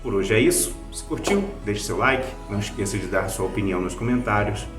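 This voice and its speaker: A man's voice: on the low side, expressive, a little fast, roomy sound, music in the background. A Brazilian man hosting a recommendation video in a conversational, scripted review style. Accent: Brazilian Portuguese. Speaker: video host recommending podcasts.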